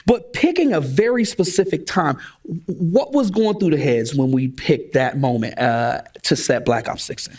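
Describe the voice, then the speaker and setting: A man's voice: fairly high pitch, animated, normal speed, darkish tone, husky. A man hosting a live panel in a casual, colloquial, playful way, coaxing answers out of guests. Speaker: panel host.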